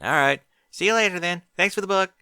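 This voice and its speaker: A man's voice: sits high, a little fast, pushed and bright, clear, resonant tone, no echo, studio quality, voice pushed up. A man voicing a spunky young filly pony girl in a fan fiction reading, with an eager, indignant way of talking.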